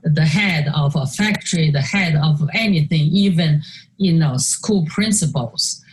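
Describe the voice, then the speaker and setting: An older woman whose first language is Chinese, speaking English slowly and haltingly with many fillers and restarts as she presents her artwork in a video call talk. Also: low voice, poor audio. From China. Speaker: artist. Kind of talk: virtual artist talk presenting slides.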